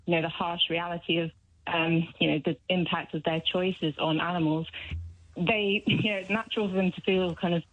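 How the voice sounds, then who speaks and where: A British woman calling a radio show, explaining her view in a measured, conversational way. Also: lowish voice, steady tone. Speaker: phone-in caller, vegan activist. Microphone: phone. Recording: thin, narrow telephone line.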